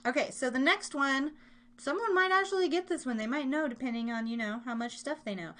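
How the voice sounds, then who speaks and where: An American woman with a fairly high voice hosts a live stream trivia game. She chats casually and playfully with her listeners, dropping in little asides as she goes. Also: brisk, fairly bright tone. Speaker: live show host running a trivia game.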